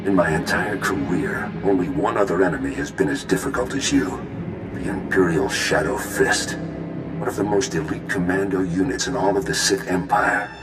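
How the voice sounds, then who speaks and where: A man's voice: low-pitched, expressive, moderate pace. A man playing a smug, menacing renegade commander in a video game, mocking and self-righteous. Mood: bitter, righteous. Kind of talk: video game cutscene acting. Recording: roomy echo. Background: music.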